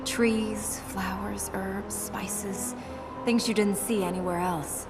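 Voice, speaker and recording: An actress playing a dry, determined young princess in a video game, terse and matter-of-fact. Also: female voice, mid-range voice, steady tone, slow. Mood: earnest, matter-of-fact. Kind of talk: video game cutscene acting. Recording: distant voice under music.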